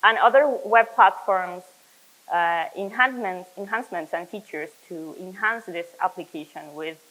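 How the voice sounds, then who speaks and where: A young adult woman from Chile giving a technical conference talk as a software developer, earnest and explanatory, with frequent fillers and self-corrections. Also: on the low side, normal speed, poor audio.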